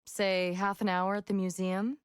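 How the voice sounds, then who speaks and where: A woman's voice: mid-range voice, level tone, normal speed, clear, resonant tone, recorded from a distance, lightly acted. An actress playing a persistent female FBI agent in a video game, asking polite but probing questions.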